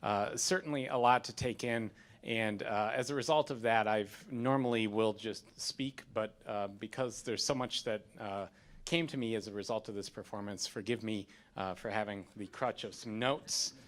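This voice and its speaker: A man in his thirties speaks as a community responder after a stage performance. He reads from notes in a theater, halting with fillers at first and building to firm declarations. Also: lowish voice, fairly even intonation, fairly bright tone. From United States. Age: 30s. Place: theater, in front of an audience.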